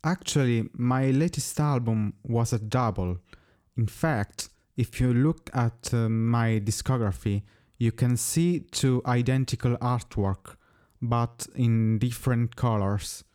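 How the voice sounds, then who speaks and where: A man from Italy, a native Italian speaker, giving measured, carefully worded answers as a musician being interviewed. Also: mid-range voice, warm tone. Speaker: musician guest.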